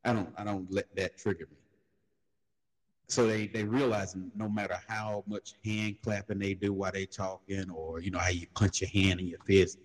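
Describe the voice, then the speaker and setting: A man talks in an easy, laid-back way and tells street stories. His voice sits low and a little husky. A long reverb trails behind every phrase. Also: little pitch movement, normal speed. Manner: laid-back storytelling, slips into loud high mocking voices when quoting others. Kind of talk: casual panel discussion.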